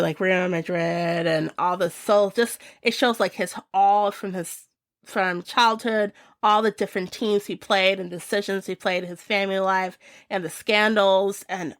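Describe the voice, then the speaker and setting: A woman's voice: mid-range voice, creaky, a bit bright, no echo, clean studio sound. A young woman chatting casually and enthusiastically as she reviews her favorite shows of the year, with many fillers.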